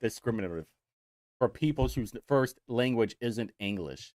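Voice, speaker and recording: Young Midwestern man, show host. Sarcastic, mocking delivery, lively pitch, dry close sound. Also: normal speed, no echo. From Indiana, United States. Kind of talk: casual commentary show.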